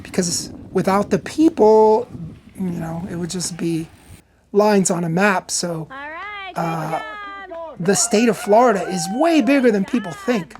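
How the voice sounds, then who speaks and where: A man who organizes the event, speaking in a thoughtful, measured way in a documentary interview. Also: high voice, background noise.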